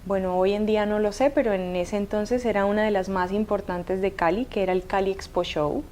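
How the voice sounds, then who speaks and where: A woman's voice: medium pitch, fairly even intonation, a little fast, a little mellow, slight background noise. A woman in her thirties with a mixed Cuban and Colombian accent, telling her life story as an interview guest, hesitant with many fillers and restarts. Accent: mixed Cuban and Colombian Spanish. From Cuba.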